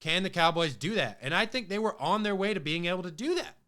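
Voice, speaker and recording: A man's voice: sits high, big pitch swings, fast talker, bright, forceful tone. A man hosting a casual sports podcast, talking loosely with many fillers and restarts, his voice a little off from a cold. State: has a cold, voice a bit off. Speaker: podcast host.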